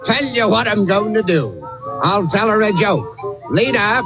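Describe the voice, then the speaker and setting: An actor playing a brash carnival sideshow barker in old-time radio comedy, declamatory and brusque. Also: male voice, sits high, lively intonation, unhurried. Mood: showy hawking. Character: brash male carnival sideshow barker, fast-talking showman. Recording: dull vintage radio recording with music.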